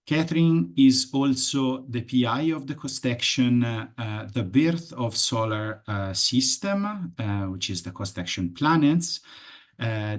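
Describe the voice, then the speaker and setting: A young man with an Italian accent, moderating an online event and introducing a speaker in a halting, hesitant way. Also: mid-range voice. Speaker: host and moderator.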